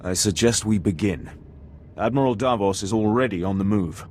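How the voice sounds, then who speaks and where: Man playing a stern, low-voiced military commander. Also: moderate pace, darkish tone, a little hiss or hum, lightly acted. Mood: stern, businesslike. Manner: curt, formal, dry. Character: stern, terse male alien military commander. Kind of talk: video game military briefing.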